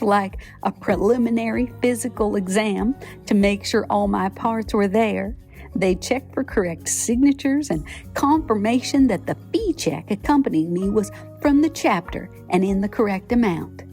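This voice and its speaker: A chatty female application paper tells her own story, as a woman puts her on. She speaks in a cheerful, folksy way, full of friendly asides. The tone is mellow and warm. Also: medium pitch, lightly acted. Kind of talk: scripted podcast narration.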